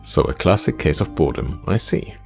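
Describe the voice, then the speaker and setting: A man voicing a calm, teasing tavern keeper in a fantasy game, listening patiently and prompting in a measured, wry way. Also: very deep voice, creaky, soft background music, pitched below the performer's own voice, full character voice.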